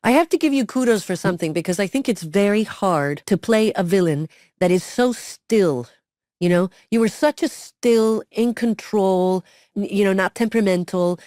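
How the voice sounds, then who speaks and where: Woman, middle-aged, podcast co-host, storytelling, animated, dry close sound. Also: medium pitch, moderate pace, no echo. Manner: lively storyteller acting out quotes. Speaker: podcast co-host, former cast member. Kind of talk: casual conversational interview.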